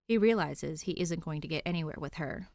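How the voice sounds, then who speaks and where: A woman's voice: deep voice, brisk, a little mellow, dry recording, clean studio sound. A woman reading a scripted story aloud as an audiobook narrator. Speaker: podfic narrator.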